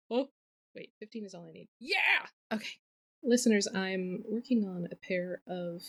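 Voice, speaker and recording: A woman co-hosting a podcast in casual chat, with a playful, irreverent and sweary way of talking. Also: medium pitch. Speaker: podcast co-host.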